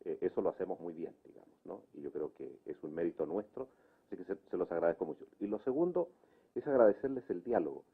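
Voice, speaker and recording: A low-voiced, husky man speaks off the cuff, closing a public debate as its moderator. His delivery is emphatic and conversational, heard through a narrow, phone-like recording. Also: lively intonation, quick pace.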